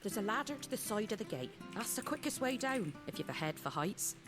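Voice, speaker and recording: An actress playing a wary, plain-spoken innkeeper in a video game. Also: female voice, mid-range voice, very animated, fairly quick, over music, lightly acted. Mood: helpful. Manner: guarded, plain-spoken, folksy. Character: wary, blunt woman innkeeper. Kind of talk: game dialogue.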